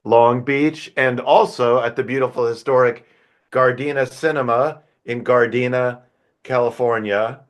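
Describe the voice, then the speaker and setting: A middle-aged man from the United States, a composer chatting as a guest in an interview, relaxed and talkative with fillers and restarts. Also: medium pitch, unhurried. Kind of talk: casual interview chat.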